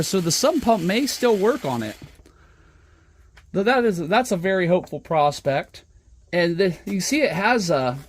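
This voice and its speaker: A man giving a casual, unscripted hobby show-and-tell, thinking aloud as he works, recorded on a smartphone. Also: high-pitched. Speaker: hobbyist presenter. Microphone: smartphone camera at the workbench.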